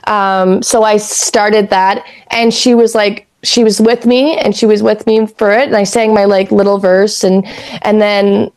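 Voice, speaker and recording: A raspy-voiced woman in her thirties from Canada, co-hosting a podcast in rambling, casual chat that drifts into spiritual talk and easy swearing. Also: medium pitch, flat delivery, a little slow, darkish tone. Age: 30s. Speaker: podcast co-host.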